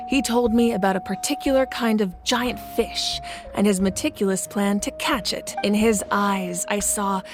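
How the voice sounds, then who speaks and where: A composed female leader voiced by an actress with a mid-pitched voice and a measured, assured delivery. She is calm and reassuring, in anime game style, with faint music underneath. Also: close to an everyday voice.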